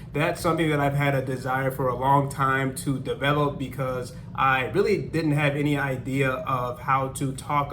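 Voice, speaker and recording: A man in his thirties talking casually to camera in a small apartment room, upbeat and animated, laughing often. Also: medium pitch, a little hiss or hum. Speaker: language-learning YouTuber.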